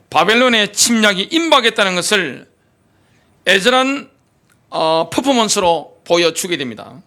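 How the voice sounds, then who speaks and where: A man's voice: high voice, pushed and bright. A male pastor preaching a sermon in a church, asking rhetorical questions and stressing his points emphatically. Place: church sanctuary.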